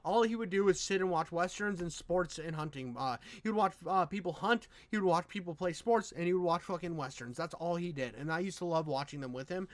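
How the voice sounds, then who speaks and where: A man's voice: sits high, a little fast, hardly any pauses, no echo. A man giving casual reaction commentary in an effusive, rambling, slangy way with plenty of swearing. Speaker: reaction video host.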